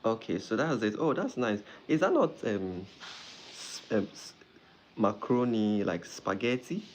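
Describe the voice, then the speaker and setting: A man from Ghana, hosting a live stream as a doctor and warmly greeting viewers by name. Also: lowish voice, expressive, measured pace, slight background noise. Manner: friendly, greets viewers by name, repeats phrases.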